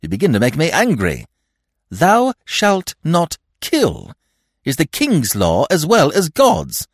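A blustering, easily affronted old lord, as a male narrator puts him on in a storybook reading. His voice rides high and shrill, leaping all over the scale whenever he is crossed. The sound is dry and close. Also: wildly swooping pitch, takes it slowly, husky, no room sound, exaggerated voice. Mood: impatient. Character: testy elderly male nobleman, fussy and shrill. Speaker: provincial lord and godfather.

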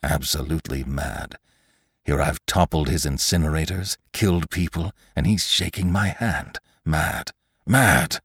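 A man's voice: very deep voice, unhurried, gravelly, husky, no room sound, exaggerated voice. A man voicing a wary, secretly menacing man in a story, with a dry, terse, guarded delivery. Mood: incredulous, muttering.

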